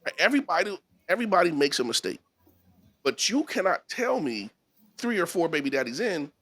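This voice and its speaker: A man's voice: a little high, very animated, fairly quick, fairly bright tone. A man co-hosting a casual podcast, chatting loosely with a friend, laughing often and repeating himself. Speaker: podcast co-host. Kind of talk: casual crude banter.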